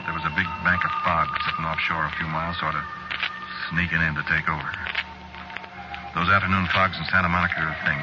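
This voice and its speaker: Male actor as guilty American everyman killer, deep voice, musing hesitant narration, vintage radio suspense, muffled old recording. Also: lightly acted. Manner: colloquial, hesitant, broken-up musing delivery. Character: guilty murderer narrating his crime, brooding, uneasy ordinary fella. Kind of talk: old-time radio suspense acting, first-person confessional narration. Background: loud music.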